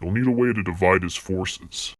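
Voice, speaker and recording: A man voicing a gruff, blunt, sardonic card duelist with a menacing edge for an amateur anime-style series. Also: deep voice, moderate pace, no echo, studio quality. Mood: serious, grim.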